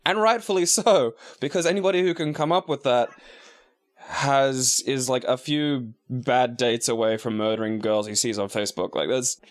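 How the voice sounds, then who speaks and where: A man's voice: medium pitch, moderate pace. A young man riffing crudely and sarcastically as co-commentator on a video game, in casual chat. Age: young adult. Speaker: co-commentator, university student.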